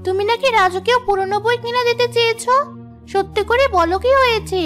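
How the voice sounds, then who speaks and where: A woman's voice: very high-pitched, fairly even intonation, a little fast, a bit bright. A woman voicing an earnest, caring young girl, a big sister in a children's cartoon. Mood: earnest, concerned. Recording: music behind the voice. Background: music.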